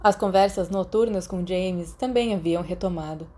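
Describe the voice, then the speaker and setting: A woman's voice: fairly low pitch, normal speed, warm tone. A woman with a Brazilian accent reading a story aloud as a scripted audiobook narration. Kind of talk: scripted fan fiction narration.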